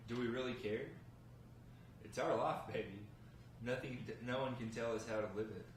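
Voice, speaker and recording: A man reading the part of an earnest, hard-up man bargaining with a gang boss, measured and persuasive, at a script table read. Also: mid-range voice, fairly even intonation, natural voice. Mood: reassuring. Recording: roomy, noisy, distant mic.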